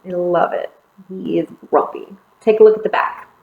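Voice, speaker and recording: A woman teacher reading a picture book aloud in an animated, chatty way, with casual asides about the pictures. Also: on the low side, normal speed, gravelly, roomy sound, narrow, tinny audio. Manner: expressive, chatty commenting on the pictures.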